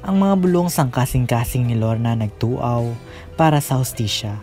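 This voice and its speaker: A man's voice: mid-range voice, lively intonation, normal speed, darkish tone. A man with a Filipino accent reading scripted narration as the host of a radio drama. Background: faint music.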